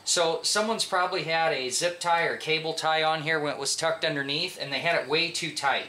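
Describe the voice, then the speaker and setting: Bright-voiced American man giving a tutorial. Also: on the high side, brisk. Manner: conversational, instructive, with check-in questions. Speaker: mechanic instructor. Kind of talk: informal hands-on tutorial. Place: home garage workshop.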